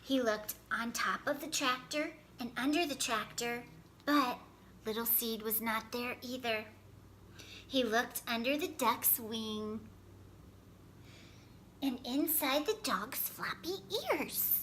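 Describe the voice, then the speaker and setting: Woman, children's storyteller, expressive careful read-aloud, slow pace, clearly bright tone, faint noise behind. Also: a little high. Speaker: storyteller, host.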